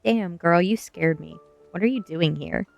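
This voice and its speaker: An anxious teenage boy from a storybook, read by a woman, reluctant and protesting yet friendly. The voice is fairly low for a woman, with faint music behind it. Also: a little slow, gravelly. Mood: wary, startled. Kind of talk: storybook character dialogue.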